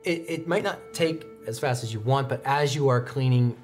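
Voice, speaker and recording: Man narrating a game over music. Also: mid-range voice. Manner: descriptive, halting as he searches for words. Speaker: game master. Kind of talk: improvised tabletop roleplay narration. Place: indoor studio set, seated at a desk.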